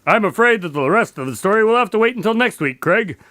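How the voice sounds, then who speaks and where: Earnest, higher-voiced detective's companion. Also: male voice, lively intonation, quick pace, sharp tone, no echo. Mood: teasing storyteller. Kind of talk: radio drama acting.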